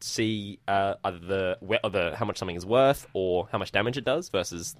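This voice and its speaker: A male podcast co-host in casual gaming chat, blunt and sweary, firing back quick banter. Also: deep voice.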